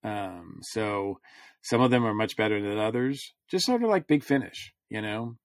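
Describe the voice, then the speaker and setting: A man with a fairly low voice, recorded close and dry. He speaks as a podcast panelist in a casual review discussion, working out his thoughts aloud in a rambling way. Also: lively intonation, moderate pace, creaky, no echo.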